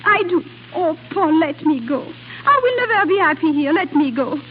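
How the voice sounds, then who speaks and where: A woman's voice: very high-pitched, expressive, moderate pace. An actress playing an unhappy, defiant young Frenchwoman, pleading emotionally in an old-time radio drama. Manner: emotional, plaintive, simple careful phrasing. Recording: tinny, noisy vintage radio recording.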